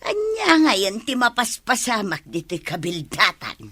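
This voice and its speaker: A gossipy Ilocano housewife in a radio comedy, a skeptical neighbour. Her voice is bright and lively, swinging widely in pitch, at an unhurried pace. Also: breathy edge, heavily acted. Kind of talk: comedic radio drama acting.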